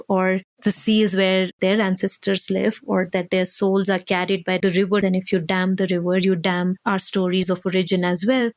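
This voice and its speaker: A woman from India, a researcher and activist, giving thoughtful, measured interview answers that build points step by step. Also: medium pitch, no echo.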